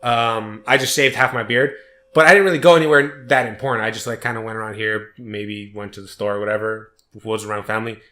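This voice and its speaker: A young man co-hosting a podcast, chatting casually and telling rambling stories with animated energy. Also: fairly low pitch, fast. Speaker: podcast co-host. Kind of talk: casual chat.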